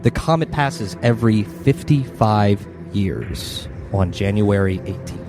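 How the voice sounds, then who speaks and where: A man's voice: lowish voice, expressive, unhurried. A man acting as game master, narrating a horror tabletop game and slipping into casual improvised asides. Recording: music bed behind voice.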